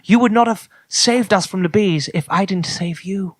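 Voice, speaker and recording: A man playing an earnest, polite traveler from the future on an improvised comedy podcast, speaking in a halting, formal, apologetic way. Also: high-pitched, no echo. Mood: solemn, polite.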